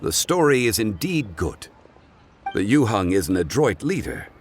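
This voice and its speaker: An actor playing a calm, wise gentleman scholar in a video game, speaking formally and eloquently, with patient, measured thoughtfulness. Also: lowish voice, a little hiss or hum. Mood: approving. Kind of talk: video game character acting.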